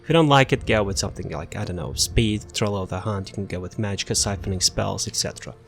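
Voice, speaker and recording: Low-voiced man rambling through a game build. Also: vocal fry, faint music behind. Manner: rambling, halting, with many fillers and restarts. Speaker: gaming channel host. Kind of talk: casual game build walkthrough.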